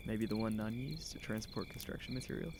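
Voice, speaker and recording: An actor playing an eager high school boy investigator in a naturalistic audio drama, talking in a casual, rambling, earnest way. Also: a little fast, natural voice. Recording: outdoor night noise, crickets. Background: crickets and insects.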